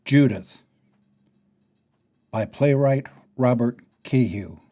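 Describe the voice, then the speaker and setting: A man's voice: mid-range voice, slow, dark tone. A man reading a scripted introduction as the announcer of an audio play.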